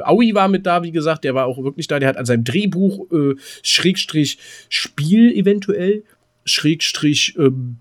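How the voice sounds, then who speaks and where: A man co-hosting a casual, rambling podcast, often stammering and repeating words with a jokey, teasing manner. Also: fairly high pitch. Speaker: podcast co-host.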